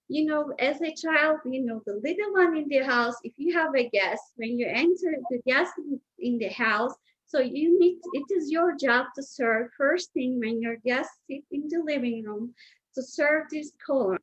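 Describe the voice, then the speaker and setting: A woman's voice: high-pitched, a bit bright. A middle-aged woman from Turkey with a Turkish accent, a guest chef chatting informally through a cooking demonstration, often checking in with "okay?". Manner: chatty, often asks "okay?", loose non-native grammar. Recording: dull lo-fi sound.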